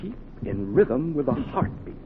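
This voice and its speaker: An actor playing an insistent doctor giving emphatic, deliberate instructions in a 1940s radio drama. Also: male voice, fairly high pitch, very animated. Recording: tinny, noisy 1940s radio recording.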